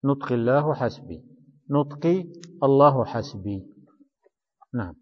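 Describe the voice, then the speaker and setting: A man's voice: medium pitch, animated, a little slow. Man teaching grammar in an echoing hall. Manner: explains line by line, repeats key phrases, quizzes and corrects the reader. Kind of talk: traditional study-circle lesson explaining a text. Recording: reverberant hall, dull lo-fi sound.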